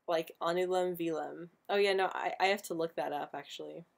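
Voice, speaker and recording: A woman's voice: fairly low pitch, steady tone, a little fast, gravelly. A young woman hosting a casual live stream, talking with a frequent stutter that repeats sounds and words. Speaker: livestream host answering viewer questions.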